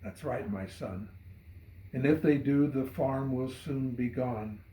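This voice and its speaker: A man voicing a calm, devout farmer bishop with a gentle, subdued, fatherly manner in a poem reading. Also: mid-range voice, slow, a little hiss or hum, natural voice. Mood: calm, steadfast. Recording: roomy echo.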